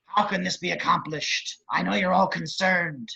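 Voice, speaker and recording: Man putting on a raspy, high-pitched grotesque villain. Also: fairly even intonation, moderate pace, bright, forceful tone, full character voice. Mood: grandiose. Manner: theatrical, bitter speechifying. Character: grotesque, grandiose male crime boss posturing as politician. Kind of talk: script table read, villain acting. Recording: dull lo-fi call audio.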